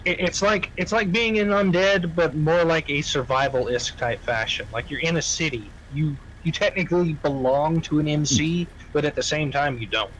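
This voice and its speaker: A man with a slightly high-pitched voice argues his point in a casual roleplay game chat. He is emphatic and repeats himself, with profanity thrown in. There is faint noise on the recording. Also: normal speed, few pauses, a bit bright, rough recording. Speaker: roleplay gamer, faction member.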